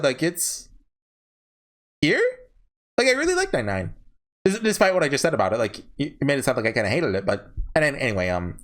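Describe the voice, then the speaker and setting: A man giving casual, rambling commentary as a video host, informal and hesitant, often correcting himself. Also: a little high. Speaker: video host reviewing visual novels.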